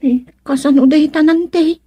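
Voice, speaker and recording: An actress playing a caring young niece with an Ilocano accent in a radio drama comedy. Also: female voice, sits high, steady tone, moderate pace, warm tone, dry recording, natural voice. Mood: gentle. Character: caring, gentle young niece looking after her uncle.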